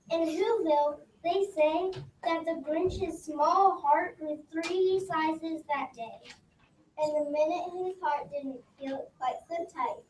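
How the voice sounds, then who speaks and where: A young reader, sounding like a child, reading a rhyming story aloud slowly and haltingly. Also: high-pitched. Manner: slow, stumbling over words. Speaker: readers theater performer. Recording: roomy, distant mic, background noise.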